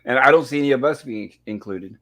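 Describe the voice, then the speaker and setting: A man hosting a casual livestream chat, polite and welcoming, with a slightly raspy throat irritated by smoke. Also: medium pitch, fast talker, over a noisy crowd. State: throat irritated by smoke, holding back a cough. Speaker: livestream host.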